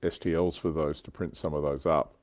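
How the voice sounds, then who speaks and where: Deep, mellow Australian man chatting. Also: fairly even intonation, brisk, dry recording. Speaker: livestream panellist. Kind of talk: casual explanatory chat.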